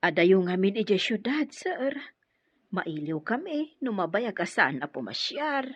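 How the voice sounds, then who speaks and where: A woman's voice: medium pitch, very animated, a little slow, no echo, dull audio. A woman acting as a humble, welcoming older provincial mother in an Ilocano radio drama. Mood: humble, polite.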